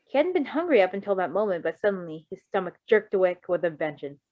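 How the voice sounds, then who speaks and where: A woman's voice: medium pitch, sing-song, quick pace, dry recording, highs cut off. A woman reading a story aloud expressively, in an informal, giggly way, breaking off to comment casually. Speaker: fan fiction reader.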